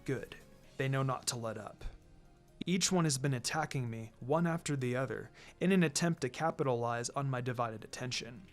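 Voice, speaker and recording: A man voicing a calm, quietly menacing male ninja mentor in a storybook reading, measured and playfully condescending. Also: mid-range voice, pitched below the performer's own voice, lightly acted. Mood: calculating. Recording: music behind the voice.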